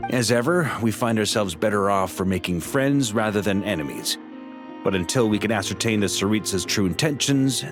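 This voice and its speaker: An actor voicing a roguish, easygoing knight commander in a video game, reading out his letter in a wry, breezy, mock-boastful way. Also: on the low side.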